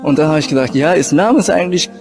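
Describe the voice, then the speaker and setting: Hesitant young man, fairly high voice. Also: fast, creaky, a little mellow, faint music behind. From Japan and Germany. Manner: hesitant, searching for words. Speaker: convert telling his story. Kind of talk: informal personal testimony.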